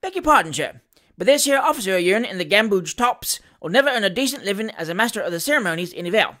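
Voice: medium pitch, animated, quick pace, pushed and bright. A storybook reader voicing a cheeky, glib male manservant with a Cockney accent and a familiar manner. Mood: breezy, familiar.

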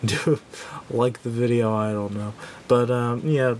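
A man giving a casual, unscripted product review in a halting, self-doubting way, recorded indoors at a tabletop on a camera microphone. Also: fairly even intonation, darkish tone. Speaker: gadget reviewer. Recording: clear background noise with low rumble.

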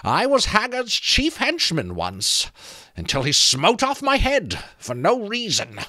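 Storybook undead skull, mocking and creaky, drawls its taunts; a man puts it on. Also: high-pitched, huge pitch swings, pushed and bright, no room sound, pitched above the performer's own voice, heavily acted. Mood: taunting. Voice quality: creaking, rattling voice. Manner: teasing, drawn-out, slow delivery.

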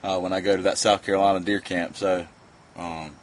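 A man's voice: sits low, level tone, brisk. A man in his forties, easygoing and folksy, talking through a casual how-to vlog on an outdoor deck to a camera a few feet away. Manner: easygoing, folksy, plain-spoken. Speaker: fishing vlogger. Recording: faint outdoor noise.

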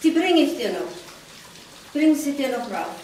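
An actress playing an evasive, subdued housewife in a radio crime drama. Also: female voice, sits high, big pitch swings, unhurried, darkish tone. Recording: noisy old radio drama.

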